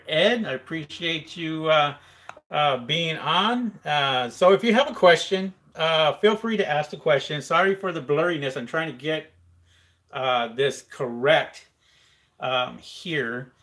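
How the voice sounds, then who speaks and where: A man's voice: fairly high pitch, sharp tone. A man hosting a live question-and-answer stream, chatting casually with many fillers and reading viewer comments aloud.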